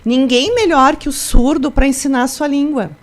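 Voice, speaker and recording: A woman's voice: high-pitched, moderate pace. Woman from Brazil, school principal, interview answers, explanatory, tag questions, restarts. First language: Portuguese. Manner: explanatory, frequent "né?" tags and restarts.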